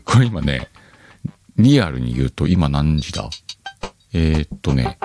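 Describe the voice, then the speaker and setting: A man's voice: rumbling low, very animated, unhurried. A man hosting a casual solo chat show, rambling and self-deprecating, often laughing at himself, with music behind him. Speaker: host of an audio show. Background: background music.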